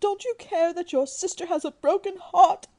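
A woman's voice: very high voice, moderate pace, darkish tone. A woman playing a melodramatic, self-pitying middle-aged mother in a radio drama, wailing and overwrought. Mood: wailing, tearful.

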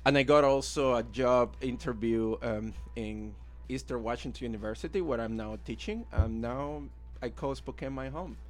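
A man's voice: medium pitch, moderate pace, few pauses, soft background music. A man in his thirties with a Venezuelan accent, a native Spanish speaker, casually telling his own story as an interview guest. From Caracas, Venezuela. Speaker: interview guest, illustrator and art teacher.

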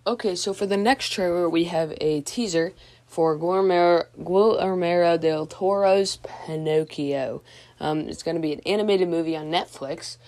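A woman's voice: fairly low pitch, normal speed, darkish tone. A woman hosting a podcast in a casual, informal way, hesitantly thinking aloud as she goes. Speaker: podcast host. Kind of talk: casual movie news chat.

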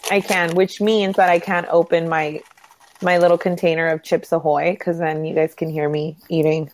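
A woman with a hoarse, nasal voice chatting casually as a podcast co-host, quick to laugh. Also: sits low, level tone, a little mellow, a little hiss or hum. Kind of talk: casual chat. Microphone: remote call.

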